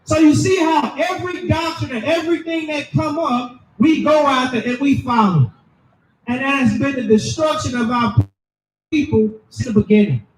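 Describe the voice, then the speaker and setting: High-pitched shouting preacher, echoey and distant. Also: male voice, a little hiss or hum. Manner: loud, emphatic, preaching to a crowd. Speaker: street preacher. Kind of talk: shouted sermon with scripture reading.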